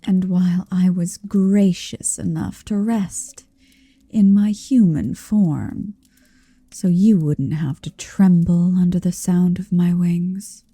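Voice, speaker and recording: A woman voicing a towering, possessive female dragon in human form for an ASMR roleplay, speaking softly, often in a whisper, with a teasing, domineering manner. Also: on the low side, steady tone, dry recording, heavily acted. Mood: offended, teasing. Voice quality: soft, breathy, often slipping into whisper. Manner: slow, deliberate, teasing and domineering.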